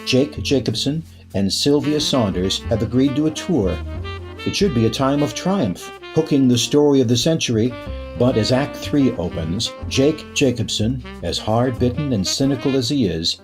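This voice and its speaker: Over clear plucked guitar music, a theatrical radio drama announcer, played by a man narrates with portentous suspense at a medium pitch. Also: expressive, moderate pace, lightly acted. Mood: ominous.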